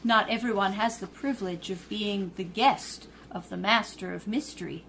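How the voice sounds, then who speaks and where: An actress playing a poised young woman sleuth in a 1930s-style radio drama, polite and quick-witted, then indignant. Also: medium pitch, gravelly, a bit bright, a little hiss or hum, rough recording. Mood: charming.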